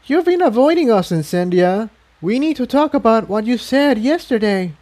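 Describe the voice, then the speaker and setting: A man's voice: extremely high pitch, expressive, moderate pace, a little mellow, pitched above the performer's own voice. A man voicing a blunt, skeptical young female flying pony in a storybook reading. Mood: stern, insistent.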